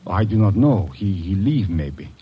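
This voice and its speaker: Nervous, husky foreign shopkeeper. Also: male voice, sits low, very animated, lo-fi sound, exaggerated voice. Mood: hesitant, evasive. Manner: halting broken English, anxious, hesitant. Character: nervous, evasive foreign male flower shopkeeper in debt. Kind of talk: old-time radio detective drama acting.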